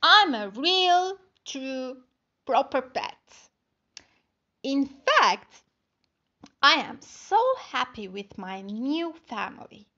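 A woman's voice: sits high, big pitch swings, very slow, pushed and bright, lo-fi sound, pitched below the performer's own voice, heavily acted. A woman voicing a lonely, eager female spider in a storybook, with simple, emphatic, showy delivery.

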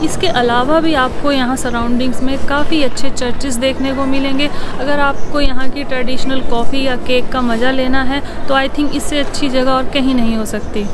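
A woman's voice: high-pitched, steady tone, normal speed, hardly any pauses, music in the background. A woman in her thirties with an Indian accent, presenting a chatty walking tour to camera outdoors. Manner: chatty, mixing English words into Hindi. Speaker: travel vlogger. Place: outdoors in a city, squares and riverside.

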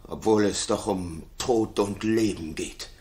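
A man's voice: lowish voice, slow pace, darkish tone. An actor playing a jealous, paranoid king in a 1960s German radio play, brooding and theatrical, moving between muttering and outbursts. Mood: accusing.

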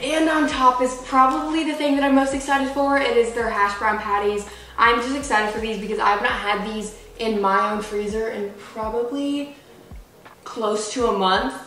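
A woman's voice: fairly high pitch, a bit bright, a little hiss or hum. A woman of twenty chatting casually in her home kitchen as a vlogger, using lots of "like" fillers, recorded by a camera a few feet away. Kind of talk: casual grocery haul chat. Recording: echoey kitchen, distant mic.

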